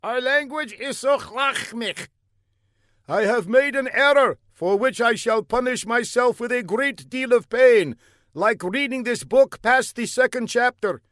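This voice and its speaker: A man's voice: extremely high pitch, a little slow, a bit bright, no room sound, clean studio sound, pitched above the performer's own voice. A man voicing a pompous, demanding older bearded secret agent who bellows in stilted, broken English.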